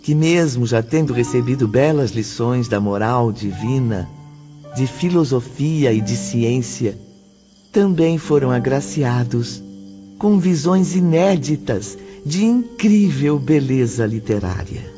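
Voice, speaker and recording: A man's voice: mid-range voice, animated, slow, mellow. A man narrating an audio novel from a script in a solemn, poetic manner. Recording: dull muffled audio under music.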